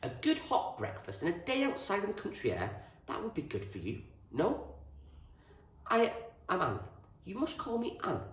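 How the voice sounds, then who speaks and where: A man voicing a small, gentle, motherly farmer's wife with a foreign accent, kindly and fussing, in a storybook. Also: sits high, wildly swooping pitch, moderate pace, pauses often, voice pushed up. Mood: welcoming. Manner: kindly, fussing, ends phrases with questioning tags. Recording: roomy echo, distant from the mic.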